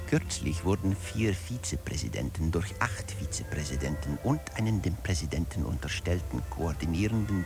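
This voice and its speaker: An actor playing a management theorist who lectures with sly satire and emphatic, deliberate phrasing in a radio drama. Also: male voice, rumbling low, creaky, exaggerated voice. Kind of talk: scripted audio drama lecture.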